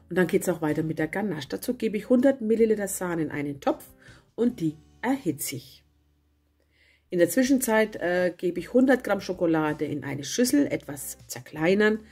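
A woman with a cold and a hoarse voice, chatting casually as she narrates a home baking video and correcting herself as she goes. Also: on the low side. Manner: chatty, self-correcting, homely. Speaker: cooking channel host. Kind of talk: casual recipe voice-over. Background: soft guitar music.

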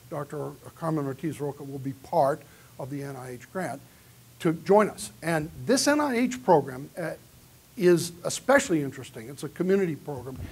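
A man's voice: on the high side, darkish tone, husky. A man giving a conference keynote in a large hall, lecturing earnestly and taking audience questions. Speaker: keynote speaker. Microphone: far camera in the audience.

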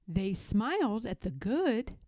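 Woman reading a kids picture book, highish voice, really lilting and expressive, dry close sound. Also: dry recording. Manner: deliberate, expressive storytelling of rhyming lines. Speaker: storybook reader. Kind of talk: children's picture book read-aloud.

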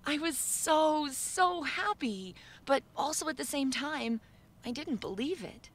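A woman giving measured, subdued first-person narration for an animated story video. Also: high-pitched.

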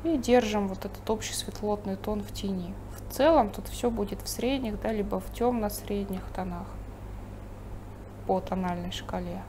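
Lady painter explaining as she goes, calm, noticeable hiss behind her. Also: mid-range voice, moderate pace. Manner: thinking aloud while painting, calm and explanatory. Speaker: painting instructor. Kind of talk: painting demonstration with commentary. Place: studio table, overhead camera on the painting.

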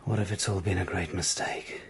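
An actor playing a gravely ill, grieving failed novelist, speaking weakly and in a hushed, halting way in a radio drama. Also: male voice, low-pitched, steady tone, a little hiss or hum, close to an everyday voice. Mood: wistful, despairing. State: weak and ill.

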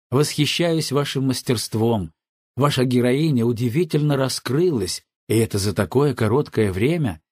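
A man reads scripted audiobook narration in a measured, earnest manner. His mid-pitched voice has a husky edge, and the recording is close and dry. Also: darkish tone, no room sound.

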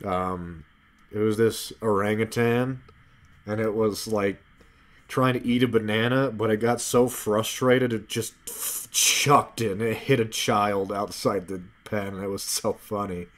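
A man's voice: on the low side, fairly even intonation, unhurried. A man giving casual playthrough commentary as a game streamer, with murmured, understated reactions.

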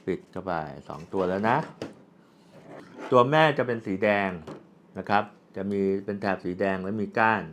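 A low-voiced man walks through a product in a casual, unscripted way. He explains as he goes, with polite sentence endings, over clearly audible background noise. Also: moderate pace, a bit bright. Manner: explanatory, with frequent polite particles and spoken handling sounds. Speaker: product reviewer.

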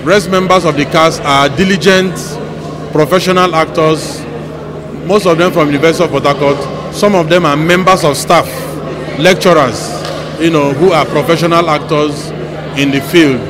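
A middle-aged Nigerian man answers in an interview, speaking as a director. His voice is fairly high, with clear room noise behind it. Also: steady tone, normal speed. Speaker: stage director and lecturer. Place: bare indoor backstage room. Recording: noisy room ambience.

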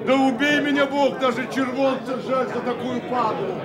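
An actor playing a disgruntled local fur dealer grumbling in a radio drama. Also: male voice, very high-pitched, fairly even intonation, normal speed. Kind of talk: Soviet radio drama acting. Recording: distant, loud crowd noise. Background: noisy crowd.

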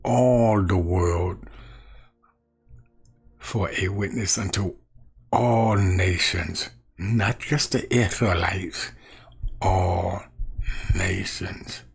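A middle-aged man with a low, gravelly and husky voice reads scripture and talks it through, slowly and with care. He often repeats a phrase, sometimes trailing off into a musing murmur. He records himself on a desk camera in a small room at home. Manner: slow, deliberate, repeats key phrases, quiet and musing. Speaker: street evangelist. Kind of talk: Bible teaching to camera.

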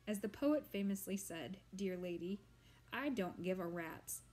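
A woman voicing an ancient, weary male swamp monster who speaks in a slow, grand and formal way. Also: medium pitch, expressive, a little mellow, natural voice. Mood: drily pompous.

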